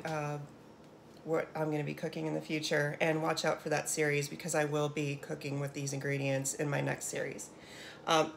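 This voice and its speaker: Low-voiced, chatty American woman vlogger. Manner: enthusiastic, chatty, emphatic. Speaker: home cook and vlogger. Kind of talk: casual unboxing vlog talk to camera. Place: small home kitchen, indoors. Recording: clearly noisy home room recording.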